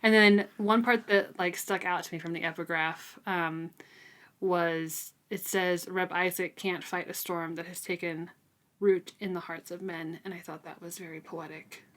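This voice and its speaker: A woman's voice: on the low side, steady tone, unhurried, a bit bright. A woman co-hosting a podcast, talking through a book casually and chattily, peppering her talk with "like". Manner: chatty, frequent "like" and "super". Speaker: podcast co-host.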